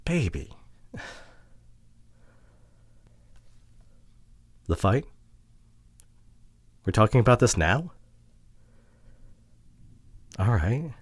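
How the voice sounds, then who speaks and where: Man acting as husky, low-voiced, hurting young boyfriend. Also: no room sound, exaggerated voice. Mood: soft, understanding. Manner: halting, hushed, emotionally vulnerable delivery. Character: hurt, frustrated introverted boyfriend pleading with partner. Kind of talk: intimate ASMR roleplay audio drama.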